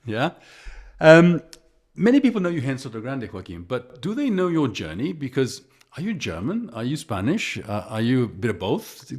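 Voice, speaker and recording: A man's voice: mid-range voice, wildly swooping pitch, normal speed, breathy edge. A man hosting a podcast interview, chatty and easygoing, often restarting words mid-question. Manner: chatty, repeats words, laughs easily. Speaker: podcast host. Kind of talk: casual interview.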